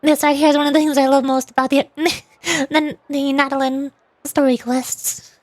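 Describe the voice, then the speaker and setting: A woman's voice: sits high, flat delivery, normal speed, a little mellow, no echo. A woman livestreamer chatting casually about a game in a bubbly, girlish manner. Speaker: streamer playing and voicing game lines. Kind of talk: casual livestream chat and commentary.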